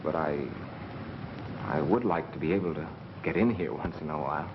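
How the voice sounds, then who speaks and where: A man's voice: extremely low pitch, moderate pace. A man acting an earnest, stubborn, slightly shy young American in a 1930s film, talking with some hesitation. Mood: shy, hesitant. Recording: dull vintage 1930s film soundtrack, faint noise.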